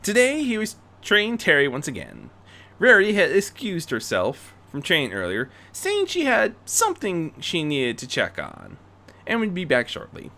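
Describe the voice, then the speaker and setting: Very animated, bright-voiced male storyteller. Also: a little high, normal speed, vocal fry. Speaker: fan fiction narrator. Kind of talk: expressive scripted story narration.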